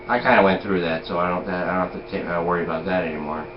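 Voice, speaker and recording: A man's voice: low voice, steady tone, fast talker. A man in his forties chatting casually, rambling and full of "you know" and "like". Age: 40s. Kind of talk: casual chat. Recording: echoey lo-fi room with noise.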